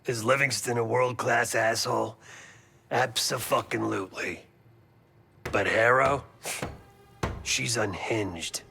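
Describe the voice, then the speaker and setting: A man playing a gruff, wry veteran operative in a video game, talking in blunt, clipped, profane phrases. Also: medium pitch, steady tone, slow pace, long pauses, bright, forceful tone, slight background noise, heavily acted. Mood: wry, weary. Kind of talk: video game cutscene acting.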